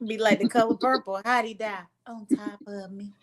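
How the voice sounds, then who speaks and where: A woman's voice: medium pitch, steady tone, normal speed. A woman co-hosting a podcast, tossing in wry quips and sayings. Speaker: podcast co-host. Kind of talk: casual podcast banter.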